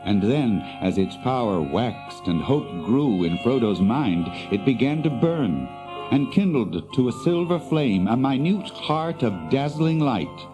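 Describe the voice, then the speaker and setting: A man narrating a dramatised fantasy tale in a grave, ominous, deliberate manner. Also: mid-range voice, very animated, poor audio. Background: music.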